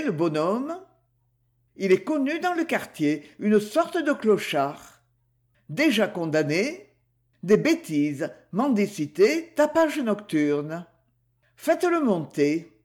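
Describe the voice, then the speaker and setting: A man voicing a cool police detective who questions and reasons in calm, clipped phrases. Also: high-pitched, takes it slowly, heavily acted. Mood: reasoning.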